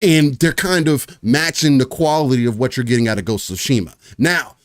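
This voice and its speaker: A man's voice: mid-range voice, fairly quick, no room sound. Chatty man rambling on a dry podcast mic. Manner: rambling, many fillers and restarts. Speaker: podcast host. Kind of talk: casual gaming chat.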